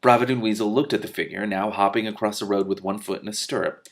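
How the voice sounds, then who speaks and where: A man's voice: on the low side, fairly even intonation, fast. A man reading a novel aloud as host, acting out dialogue expressively and adding casual asides.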